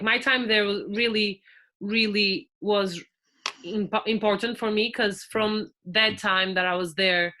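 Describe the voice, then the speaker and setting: A young Portuguese woman in her twenties talks as a podcast guest, her accent plain and her voice bright and forward. She chats loosely, leaning on fillers and restarting her sentences. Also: medium pitch. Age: 20s. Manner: chatty, with frequent fillers and restarts. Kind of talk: casual podcast interview.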